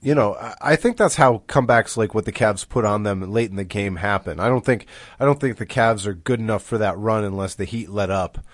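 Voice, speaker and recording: A man hosting a casual sports podcast, his voice deepened as he recovers from an illness, talking in a rambling conversational way. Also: a little fast, dry recording, studio quality. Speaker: podcast host.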